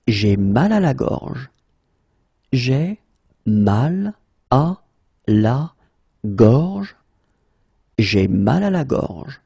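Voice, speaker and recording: A man teaching French in a pronunciation drill, slowly repeating each phrase and then saying it word by word. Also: mid-range voice, very animated, gravelly, dark tone, husky, no echo.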